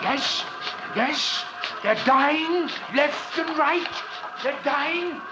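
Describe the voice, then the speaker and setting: An actor playing a frantic, paranoid professor obsessed with insects, pleading and raving in an old radio drama. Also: male voice, very high-pitched, very slow, over music, full character voice. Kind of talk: 1940s radio acting. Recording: hissy old radio recording.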